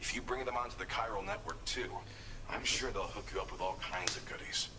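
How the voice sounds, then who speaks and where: An actor playing a male video game character giving a measured, explanatory weapons briefing. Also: on the low side, heavy background noise, natural voice. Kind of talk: scripted video game dialogue.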